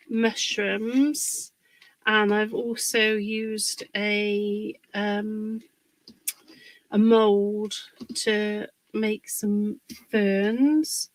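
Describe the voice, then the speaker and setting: A woman, husky from a cold, hosts a relaxed live crafting session and talks her viewers through her project. Her voice sits a touch high, and she takes her time, chatty and friendly with the people watching. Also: no room sound, poor audio. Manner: chatty, greets viewers by name. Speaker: craft livestream host. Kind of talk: casual live craft demonstration.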